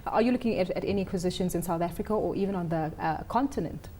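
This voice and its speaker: A woman anchoring a business news interview, asking brisk, probing questions. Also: lowish voice, mellow, slight background noise.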